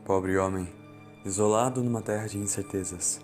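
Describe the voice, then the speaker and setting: A man playing a reflective, fearful man of science, narrating an audiobook in the first person in a solemn, deliberate, brooding way. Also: fairly low pitch, fairly even intonation, a little mellow, natural voice. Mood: solemn, uneasy. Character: Doctor Carlos, fearful, reflective man of science recounting events. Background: music.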